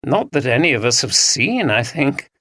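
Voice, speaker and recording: A man's voice: medium pitch, sing-song, moderate pace, sharp tone, no room sound, pitched above the performer's own voice. Guy doing a hesitant young dude, unsure, close dry sound.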